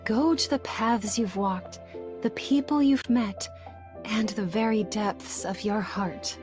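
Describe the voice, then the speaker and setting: An actress playing a gentle, wise queen mother in a video game, speaking in a measured, solemn way over music. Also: medium pitch, lightly acted. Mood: solemn, tender. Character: gentle wise queen mother counseling her young son. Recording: music under the voice.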